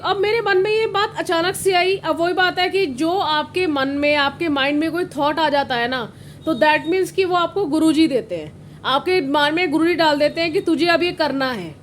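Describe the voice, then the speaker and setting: Hoarse high-voiced woman with a cold. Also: a little hiss or hum. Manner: rambling, with frequent restarts and fillers. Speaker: satsang channel host. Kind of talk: devotional storytelling chat.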